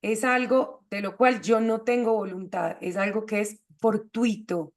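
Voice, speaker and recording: A woman's voice: mid-range voice, steady tone, a little slow. A woman hosting an explanatory conversation, teaching point by point and checking with short questions. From Colombia. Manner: didactic, checks with rhetorical questions. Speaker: host of an astrology show.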